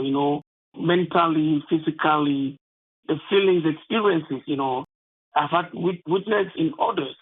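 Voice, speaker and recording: A man with a Cameroonian accent giving earnest interview answers as an author, haltingly, often adding "you know". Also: on the high side. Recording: thin phone-like line.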